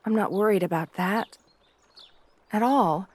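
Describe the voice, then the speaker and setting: A woman playing a shy, gentle, devoted young princess bride in an ASMR roleplay, speaking softly and hesitantly, with deference. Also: medium pitch, a little slow, close to an everyday voice. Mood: earnest, anxious.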